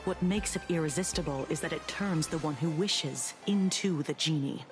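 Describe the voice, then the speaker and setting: An actress playing a composed, shrewd woman investigator in a video game, articulate and gently sardonic with a skeptical wit. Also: sits low, moderate pace, faint music behind, lightly acted. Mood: composed, knowing.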